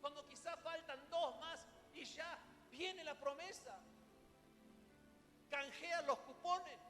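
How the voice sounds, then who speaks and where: A male preacher with a Rioplatense Spanish accent delivers a sermon in a high-pitched voice. He is dramatic, leaning on rhetorical questions and emphatic repetition. His voice rings through a long hall reverb, with clear music playing behind it. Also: slow, bright, forceful tone.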